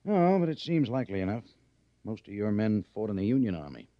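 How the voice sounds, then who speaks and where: A shrewd army major in a radio western. He speaks low and deliberately, with animated rises and falls and gentle wryness. The vintage recording sounds muffled. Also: dry recording. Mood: musing. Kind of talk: old-time radio western acting.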